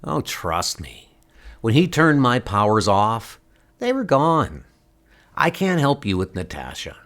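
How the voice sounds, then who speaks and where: A man's voice: medium pitch, huge pitch swings, slow, a bit bright, heavily acted. A man voicing a defensive, sarcastic young ex-superhero in a comic book dub, snide and dismissive.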